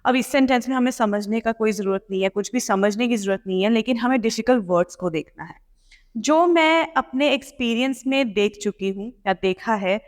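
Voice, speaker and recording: A woman with an Indian accent teaching pronunciation in a didactic way, enunciating deliberately as she explains and demonstrates. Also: fairly high pitch. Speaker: English pronunciation and test-prep teacher. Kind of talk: instructional lesson mixing explanation and read-aloud demonstration. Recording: echoey, reverberant room.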